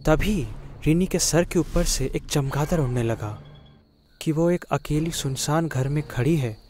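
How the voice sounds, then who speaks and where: A man's voice: fairly high pitch, a little slow, darkish tone, slight background noise. A man giving scripted narration of a spooky children's story. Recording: clear music behind the voice. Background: background music.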